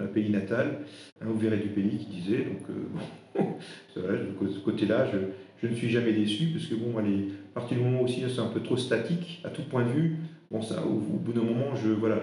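A man from eastern France whose first language is Alsatian, an interim HR director answering podcast interview questions in a halting way, full of fillers and restarts. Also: lowish voice, warm tone. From eastern France, Alsace. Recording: very echoey room with faint noise.

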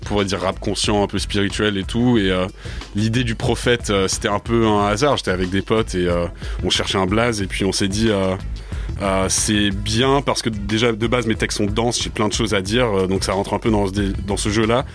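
A man's voice: on the low side, steady tone, moderate pace, faint music behind. A man in his twenties, a native English speaker, answering a radio interview in a studio with many hesitations and fillers. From France. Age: 20s. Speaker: rapper guest.

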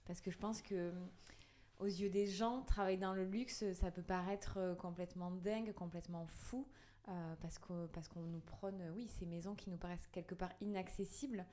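A woman's voice: lowish voice, fairly even intonation, normal speed, dark tone. A woman co-hosting a podcast, presenting the show and interviewing her guest. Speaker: podcast co-host, boutique founder.

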